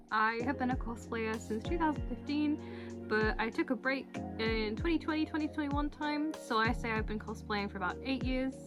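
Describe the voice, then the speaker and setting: Bubbly high-voiced young woman vlogging. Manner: bubbly, expressive, slangy. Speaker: cosplay video creator and host. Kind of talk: chatty vlog introduction to camera. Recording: music playing behind the voice. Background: music.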